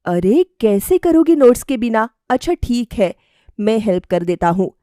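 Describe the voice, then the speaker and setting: A mild, dutiful young man from a cartoon moral story, read by a woman. The delivery is sincere and measured, a little hesitant, in a dry close recording. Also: on the high side, big pitch swings, mellow, no echo. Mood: helpful.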